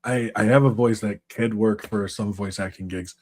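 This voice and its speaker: A man hosting a casual podcast, relaxed and rambling, laughing easily. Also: lowish voice, normal speed. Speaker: podcast host.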